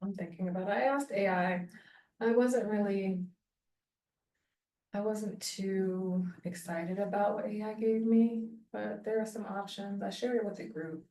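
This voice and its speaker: A woman's voice: medium pitch, steady tone, measured pace, mellow. Woman, thirties, casual meandering chat, self-deprecating, roomy bathroom echo, distant mic. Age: 30s. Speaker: small-business vlogger. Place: home bathroom. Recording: echoey bathroom, voice far from mic.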